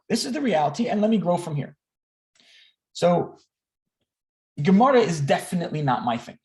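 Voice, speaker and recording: A man's voice: high-pitched, darkish tone. A man in his thirties giving a candid, self-deprecating personal talk to a group on a video call, often repeating words and restarting. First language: English. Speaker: speaker at an online learning gathering.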